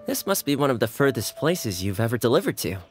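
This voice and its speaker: An easygoing young man, with a mid-pitched, gently friendly voice that moves a lot in pitch. He sounds like a game character with faint music behind him. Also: big pitch swings, fast talker. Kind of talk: video game voice acting.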